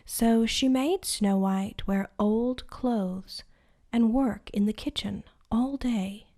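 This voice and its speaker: A woman hosting a bedtime story show, narrating a fairy tale in a soft, hushed, soothing way. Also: fairly high pitch, slow pace. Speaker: host and storyteller.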